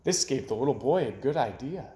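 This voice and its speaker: A man reading a children's story aloud expressively, with dramatic delivery that drops to hushed phrase endings. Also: mid-range voice, animated, brisk. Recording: echoey room.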